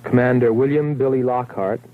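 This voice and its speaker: An actor as grave astronaut narrator, muffled old film. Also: male voice, mid-range voice, fairly even intonation, normal speed, natural voice. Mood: somber, reflective. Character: grave astronaut recalling a troubled space mission. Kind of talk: scripted first-person film narration. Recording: muffled, noisy old 1960s film soundtrack.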